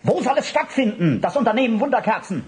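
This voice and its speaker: An agitated, excitable fellow in a German radio drama. The voice is extremely high and shrill. It leaps up and down as he exclaims. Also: fast.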